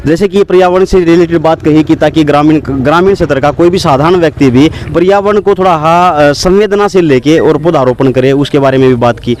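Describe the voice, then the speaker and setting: A man's voice: fairly high pitch, fairly quick, hardly any pauses, a little hiss or hum, rough recording. A man interviewing a village head, asking long questions with many hesitations. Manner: long hesitant questions with fillers, frequent soft hums.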